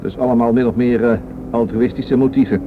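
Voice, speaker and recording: Actor as sharp-questioning man, quick, noisy radio recording. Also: mid-range voice, steady tone, close to an everyday voice. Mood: accusing, sceptical. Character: sceptical, probing man confronting a crooked policeman. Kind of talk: radio play acting. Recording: narrow lo-fi radio recording, strong noise.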